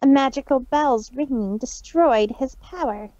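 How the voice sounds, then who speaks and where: A woman's voice: sits high, unhurried, mellow, dry recording, soft background music. A woman voicing a boastful young mare magician who speaks of herself in the third person, in a theatrical manner. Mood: taunting. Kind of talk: fanfic reading voice acting. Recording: dull, lo-fi stream audio.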